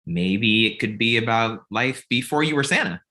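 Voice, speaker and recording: A man's voice: medium pitch, animated, moderate pace, pushed and bright. A man playing a glib, self-deprecating young filmmaker in a comedy table read, casual and wry. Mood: persuasive.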